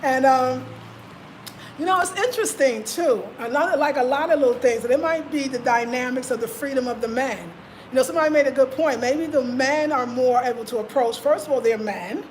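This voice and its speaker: A woman's voice: sits high, a little fast, a little hiss or hum, low-quality recording. An American woman hosting a live stream, chatting animatedly in slang, telling stories and reading out viewer comments. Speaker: host.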